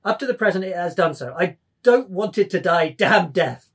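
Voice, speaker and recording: A man's voice: sits high, animated, moderate pace, fairly bright tone, husky, exaggerated voice. A man acting a drunken young poet, rambling, philosophising and defiant, in a dramatic table reading. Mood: nervous, defiant.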